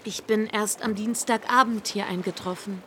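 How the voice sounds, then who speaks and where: A woman's voice: mid-range voice, fairly even intonation, moderate pace, sharp tone, a little hiss or hum, natural voice. An actress playing a modest, reserved young Regency woman in a radio play, speaking precisely and politely. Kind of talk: period radio drama acting.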